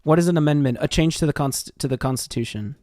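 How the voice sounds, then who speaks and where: An American man livestreaming in casual chat, excitable and sweary, reacting and reading things aloud. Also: a little high, quick pace, no echo, faint music behind. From Texas, United States. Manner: excitable, swearing, jumps from muttering to shrieks. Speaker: livestream host.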